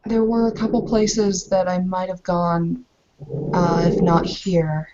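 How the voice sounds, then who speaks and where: A woman's voice: lowish voice, level tone, slow, warm tone, slight background noise. A woman playing an amiable young bride in a tabletop game, speaking in a hesitant, tactful, rambling way on a slightly dull recording. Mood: tentative, friendly. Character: amiable young Bavarian bride, werewolf kinfolk newly arrived.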